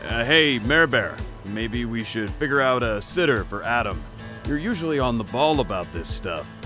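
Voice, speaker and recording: Man acting a bright, boisterous drinking buddy. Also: mid-range voice, expressive, normal speed, soft background music. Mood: concerned. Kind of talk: audio drama acting.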